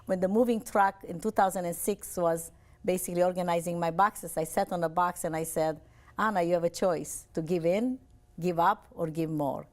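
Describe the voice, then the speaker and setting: A woman's voice: on the low side, fairly even intonation, moderate pace. A woman from Romania, a life coach giving an earnest, upbeat television interview and often repeating her phrases.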